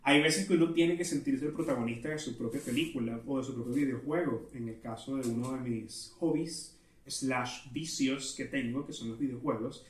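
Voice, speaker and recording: A man's voice: medium pitch, moderate pace. A man with a Venezuelan Spanish accent hosting a solo podcast, chatting casually in a self-aware, digressive way. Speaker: podcast host. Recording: roomy echo, distant from mic.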